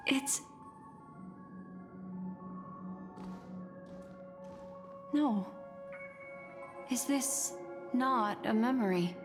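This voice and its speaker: Casting: enigmatic game memory keeper, measured, self-contained, unhurried, mid-pitched, with music behind. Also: female voice. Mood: puzzled. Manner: measured, musing to herself. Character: composed, mysterious female keeper of memories. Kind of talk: video game character acting. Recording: long reverb, music underneath.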